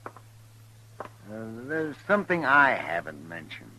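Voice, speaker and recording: A man's voice: on the low side, wildly swooping pitch, slow, bright, forceful tone, slight background noise, exaggerated voice, rough recording. An actor playing a folksy, hesitant but sly American frontier townsman in an old-time radio western. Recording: vintage radio drama recording.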